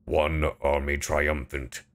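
A man's voice: extremely low pitch, level tone, takes it slowly. A man doing casual, profane, jokey livestream commentary while he plays a game, sometimes reading aloud theatrically. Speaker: streamer, gamer.

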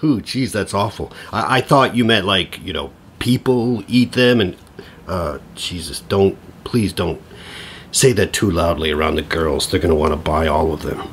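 An actor playing a harried, sarcastic divorced father in his forties, halting and exasperated, in a comedic audio drama. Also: low-pitched, a little hiss or hum. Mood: awkward, uneasy. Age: middle-aged.

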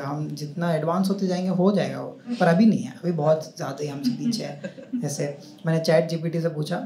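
A man's voice: on the high side, dark tone. A young man performing a stand-up comedy set on a club stage, chatting and teasing the audience. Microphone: handheld mic on a stand. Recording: roomy live club sound with crowd noise. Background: audience laughter.

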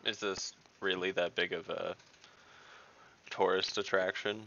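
A man's voice: sits low, level tone, measured pace, creaky, sharp tone, a little hiss or hum. A man playing in an online tabletop game who makes dry jokes. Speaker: player. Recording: dull lo-fi video call audio.

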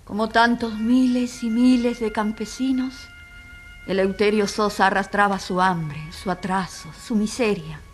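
An actress playing a solemn, compassionate narrator at a tribunal, grave and rhetorical in scripted dramatic narration. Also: female voice, medium pitch, fairly even intonation, a little slow, faint music behind, lightly acted. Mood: solemn, indignant. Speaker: narrator and moderator of a dramatized trial.

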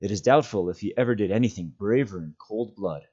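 A man's voice: fairly low pitch, brisk, dark tone, breathy edge, muffled recording. A man reading a fantasy novel aloud as a storyteller, a volunteer reader narrating.

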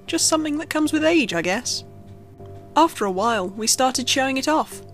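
A woman's voice: high-pitched, lively intonation, normal speed. A woman reading a scripted horror story as a narrator, telling it expressively. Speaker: creepypasta narrator. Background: background music.

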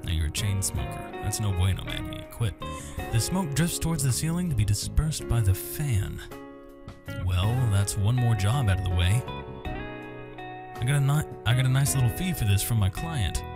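A man's voice: mid-range voice, lively intonation, normal speed. A man hosting a gaming video, reading visual novel text aloud with asides and stumbling over some words and names. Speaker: gaming host. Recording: music under the voice. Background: game music with guitar.

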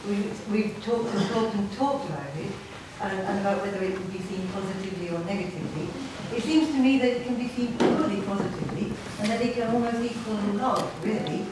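A woman's voice: mid-range voice, brisk. Earnest woman in a noisy, echoing hall. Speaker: audience member at conference. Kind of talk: earnest public comment. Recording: very echoey room, distant mic, loud noise.

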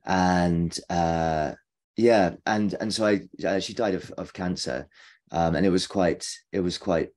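A man's voice: deep voice, a little mellow. A man in his thirties speaking casually and hesitantly as he reflects during a video call. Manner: halting, hesitant. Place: home kitchen indoors.

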